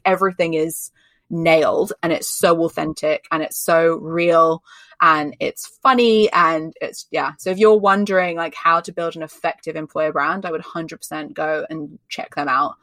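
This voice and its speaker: A woman's voice: low-pitched, steady tone, moderate pace, fairly bright tone, no echo. A woman from Australia who speaks with an English accent, a guest expert giving emphatic, chatty interview answers full of "like" fillers. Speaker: guest, personal branding expert. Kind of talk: candid conversational interview answers.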